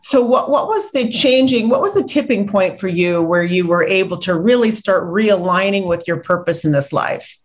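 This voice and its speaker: A woman's voice: mid-range voice, moderate pace, hardly any pauses. Middle-aged woman hosting a podcast. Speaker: podcast host. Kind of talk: interview host over video call. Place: home office indoors.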